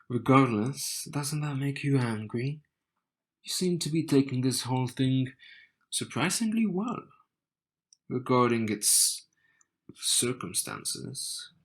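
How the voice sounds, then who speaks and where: Man playing a slow-talking, cocky young bridegroom. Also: mid-range voice. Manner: slow, teasing, smug, with sighs and soft chuckles. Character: cocky, wry, bitter young man in arranged marriage. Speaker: audio roleplay voice actor. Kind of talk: intimate scripted audio roleplay addressed to the listener.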